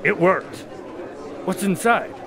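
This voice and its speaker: Radio drama sidekick doctor, played by a man: wry, skeptical, lively intonation, fairly high voice, crowd around. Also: takes it slowly, heavily acted. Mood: exasperated. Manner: wry, questioning, mildly exasperated. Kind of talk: audio drama dialogue.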